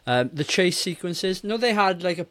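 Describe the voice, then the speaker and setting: A man's voice: high voice, animated, normal speed, fairly bright tone. A man in his forties chatting casually and opinionatedly as a podcast host, exasperated and swearing freely. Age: 40s. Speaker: film review podcast host.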